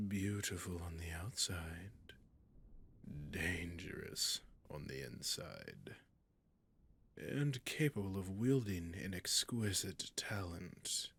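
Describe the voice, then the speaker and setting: Man acting a very deep, gravelly dragon king. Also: a bit bright, full character voice. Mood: amused, intrigued. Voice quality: husky, gravelly, breathy growl that drops into whispers. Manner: slow, formal, commanding, deliberate pauses. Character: imperious, possessive dragon king, menacing yet courtly suitor. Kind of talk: scripted fantasy audio roleplay addressed to the listener.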